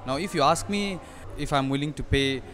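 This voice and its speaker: A young man, a restaurant owner, answering questions in an on-camera news interview, earnest and halting with frequent restarts. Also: fairly high pitch, fairly bright tone. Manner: hesitant, restarts often, earnest. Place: indoor restaurant dining area. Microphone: handheld reporter mic.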